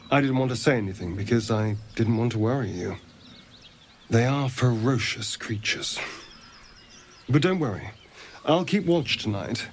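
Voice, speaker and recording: A man's voice: medium pitch, slight background noise. An actor playing a suave, sly vampire man in a video game, with theatrical, dramatic delivery and an undercurrent of need. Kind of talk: video game character acting.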